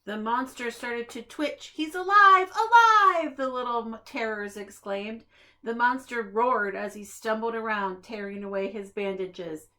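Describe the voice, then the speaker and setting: A woman reading a children's picture book aloud in an expressive, deliberate storytelling manner, as if to young listeners. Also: a little high. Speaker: preschool staff reader.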